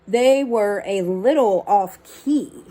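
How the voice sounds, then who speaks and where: A woman reading a picture book aloud like a teacher, expressive and instructive, speaking to young listeners. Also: medium pitch, slow pace. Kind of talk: storybook read-aloud with brief asides.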